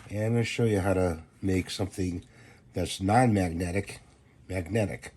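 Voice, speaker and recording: Hoarse deep-voiced man explaining a DIY fix. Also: darkish tone. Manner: plain, unhurried instructions with fillers. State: hoarse from a cold. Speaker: DIY channel host. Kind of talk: casual how-to demonstration.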